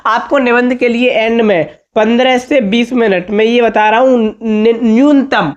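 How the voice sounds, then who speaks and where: A man with a slightly hoarse voice, giving an informal exam-tips lesson as a teacher, emphatic and repetitive, often checking that students follow. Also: very high voice, fairly even intonation, normal speed. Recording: dull, muffled lo-fi audio.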